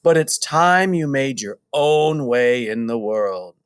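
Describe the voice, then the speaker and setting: A man's voice: mid-range voice, animated, slow, clear, resonant tone, no echo, clean studio sound. Man voicing an earnest, caring mother pig. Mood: apologetic.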